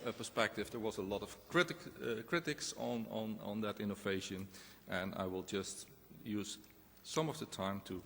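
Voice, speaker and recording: A man with a Dutch accent, a Dutch native speaker, giving a relaxed conference talk with many hesitations. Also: on the low side, creaky, a little hiss or hum. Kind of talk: conference presentation. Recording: echoey conference hall.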